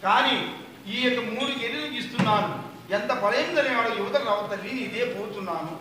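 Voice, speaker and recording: A man's voice: very high voice, a little fast, noisy background. A man performing a dutiful, reverent young prince in a traditional puppet play, declaiming formally and deferentially. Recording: echoey, distant live stage sound. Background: loud music.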